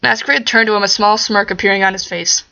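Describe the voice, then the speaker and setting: A woman's voice: medium pitch, steady tone, fast talker, gravelly, bright, forceful tone, muffled recording. A woman reading amateur fiction aloud and talking casually to her listeners. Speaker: amateur audio fiction narrator. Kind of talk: story narration and casual talk to listeners.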